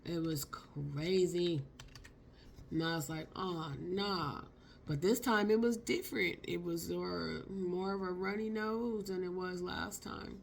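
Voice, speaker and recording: A woman, hoarse from a cold, telling a casual, slangy story for her vlog. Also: lowish voice, takes it slowly, a little hiss or hum. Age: 50s. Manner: chatty, expressive, slangy. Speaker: vlogger.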